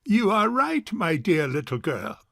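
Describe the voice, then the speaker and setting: A man's voice: fairly high pitch, huge pitch swings, unhurried, creaky, fairly bright tone, no echo, clean studio sound, exaggerated voice. An actor playing a suave, sarcastic bachelor uncle in his forties in an audio farce, speaking in a pompous, formal way. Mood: courtly. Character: suave, sarcastic forty-two-year-old rich bachelor uncle. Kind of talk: stage farce audio drama acting.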